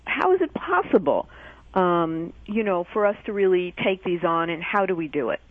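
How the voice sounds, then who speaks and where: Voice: mid-range voice, animated, moderate pace, no room sound. A radio interview host asking questions hesitantly, with many fillers and restarts. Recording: tinny, dry phone-like radio line.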